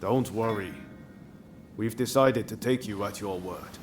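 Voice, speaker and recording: A man's voice: lowish voice, a little slow, a little hiss or hum, lightly acted. A man playing an earnest, idealistic samurai in a video game cutscene, speaking informally and reflectively. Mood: easygoing. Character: earnest, idealistic male samurai eager for change.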